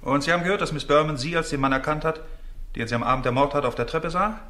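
An actor playing an insistent, formal prosecutor cross-examining in a radio play. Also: male voice, a little high, quick pace, bright, forceful tone. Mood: pressing, formal.

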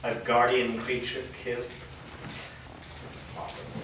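An actor playing an old village blacksmith in a staged fantasy reading, plainspoken, guarded and defiant. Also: male voice, mid-range voice, completely flat delivery, a little slow, close to an everyday voice. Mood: wary. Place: indoor performance room before a small audience. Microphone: far camera. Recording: echoey hall, distant mic, noisy.

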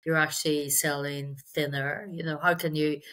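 A woman's voice: low voice, little pitch movement, normal speed, fairly bright tone, slight background noise. A middle-aged woman with a Belfast accent telling her career story conversationally in an interview, with wry, self-deprecating asides. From Belfast, Northern Ireland. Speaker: sales executive, interview guest. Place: indoor room on a video call.

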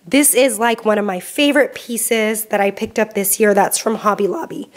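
A woman's voice: mid-range voice, normal speed. A woman in her thirties from Southern California, chatting upbeat in a home vlog from her living room, her voice still a little off from a cold. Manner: upbeat and chatty, with frequent "um" fillers. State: voice slightly off while getting over a cold. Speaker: home vlogger, mom. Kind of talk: casual cleaning and decorating vlog.

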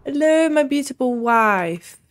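A woman's voice: sits high, animated, a little slow. A young woman giving casual gameplay commentary, playful and often muttering to herself. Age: young adult. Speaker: game streamer. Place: small room at home. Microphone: desk mic close up, headset worn.

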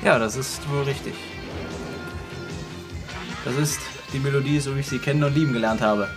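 A man's voice: medium pitch, normal speed, over music. A man co-hosting a casual fan commentary on a cartoon.

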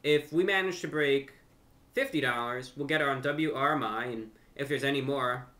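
Male, young adult, American. Bright, sharp-edged voice, mid pitch; earnest casual vlog host talking straight to camera. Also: moderate pace. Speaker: host of a video channel and radio show. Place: furnished room at home.

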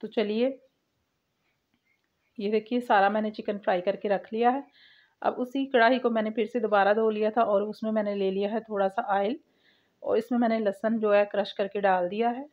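A woman's voice: medium pitch, fairly even intonation, normal speed. A woman giving a step-by-step cooking voice-over as the host of a recipe channel, on a lo-fi home recording.

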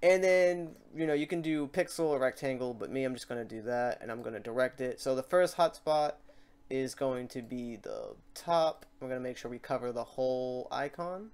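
Clear-voiced man giving a casual tutorial. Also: mid-range voice, moderate pace, a little mellow. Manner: informal, thinking aloud, self-deprecating. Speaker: tutorial host. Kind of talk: casual, improvised software tutorial.

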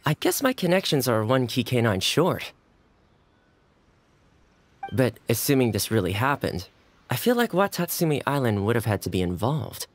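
A man voicing a friendly, easygoing young housekeeper who speaks in a gentle, sociable way, in video game voice acting. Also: mid-range voice, big pitch swings, normal speed, long pauses.

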